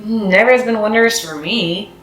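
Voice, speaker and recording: A woman's voice: medium pitch, normal speed, a bit bright, distant mic, lightly acted. A woman puts on a young storybook schoolboy, lonely and full of doubt. The delivery is hesitant and downcast, in a roomy space with music behind. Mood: glum, doubtful. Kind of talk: children's storybook read-aloud.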